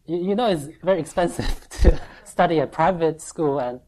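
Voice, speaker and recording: A woman gives a sermon in short, halting phrases, pausing often so an interpreter can follow. Her voice sits extremely low for a woman, and her delivery is measured and unhurried. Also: dark tone, poor audio. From Taiwan. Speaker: preacher giving testimony.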